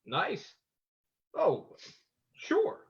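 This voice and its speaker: A man playing a suave, smug gentleman detective, wry and self-assured, in old-time radio style. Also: very slow, dull audio. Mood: smug, teasing.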